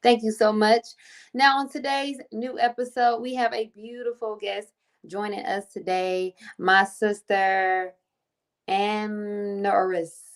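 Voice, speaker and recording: A woman's voice: mid-range voice, steady tone, takes it slowly. A woman hosting a faith podcast, chatting casually and piling on repeated welcomes and praise. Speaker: podcast host.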